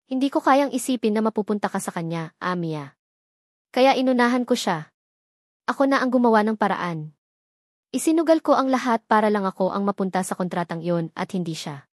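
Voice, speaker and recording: A heartbroken young man begging softly, voice low and near a whisper, read by a woman narrator in the same plain tone. dry, close, no room at all. it's a storybook reading, the guy speaks carefully and sadly. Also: expressive, a little fast, dry recording, lightly acted. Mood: pleading. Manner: quiet, pleading, nearly whispering. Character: desperate young man pleading with his love.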